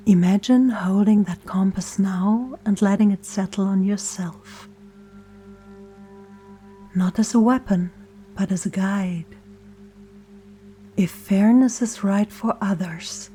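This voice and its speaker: A woman leading a gentle scripted guided meditation in a soft, soothing, often breathy near-whisper, with deliberate pauses. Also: medium pitch, steady tone. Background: soft meditation music and singing bowl tones.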